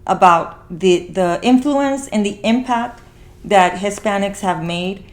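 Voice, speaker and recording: A woman's voice: mid-range voice, slow. A woman hosting a podcast in casual conversation, rambling as she repeats and rephrases herself. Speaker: podcast host.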